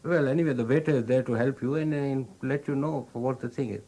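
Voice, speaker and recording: A man's voice: medium pitch, a little fast, dark tone. A man with an Indian accent, a restaurant owner explaining things patiently, with frequent fillers. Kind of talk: explaining, casual interview.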